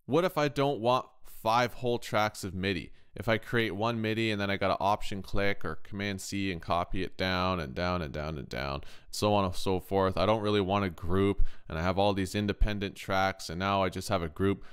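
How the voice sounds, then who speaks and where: Guy with a lowish clean smooth voice doing a chatty tutorial in a kitchen, keeps asking right, okay. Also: normal speed, hardly any pauses, a bit bright. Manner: chatty, informal, rhetorical check-in questions. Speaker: tutorial host, touring guitar tech. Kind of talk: casual software tutorial.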